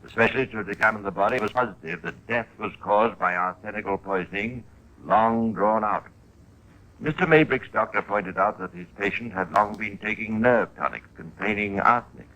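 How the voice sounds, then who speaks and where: A man hosts a 1950s radio crime drama with scripted narration. His voice is fairly low but bright and forceful. He speaks in a measured, theatrical way, leaning on key words. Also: poor audio. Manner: measured, theatrical emphasis with rhetorical questions. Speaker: narrator and host.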